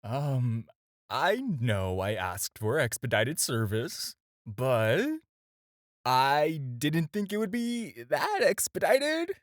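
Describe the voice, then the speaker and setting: A man acting in an audio roleplay as a smooth-talking, flirtatious villain who teases and coaxes the listener with playful pauses. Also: mid-range voice, sing-song, no echo, clean studio sound. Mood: sheepish, pleading. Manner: coaxing, playful, hesitant pauses and drawn-out teasing. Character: smooth-talking, flirtatious adult male supervillain, teasing and persuasive.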